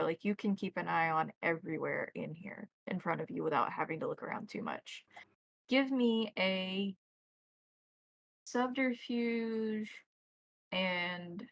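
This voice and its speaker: A woman narrating a tabletop roleplaying game as game master, describing scenes in deliberate detail. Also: fairly low pitch, gravelly, no echo, rough recording.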